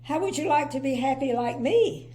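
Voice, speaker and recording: A woman's voice: sits high, lively intonation, normal speed, warm tone, slight background noise, voice pushed up. An older woman voicing a cheerful, friendly round man from a storybook, kindly and decisive. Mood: friendly, curious.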